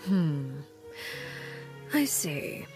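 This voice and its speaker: An actress playing a cool, calculating and enigmatic woman leader in a video game, speaking in a composed, measured and dryly ironic way. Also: deep voice, sing-song, over music, full character voice. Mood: cool, guarded. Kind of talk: video game character acting.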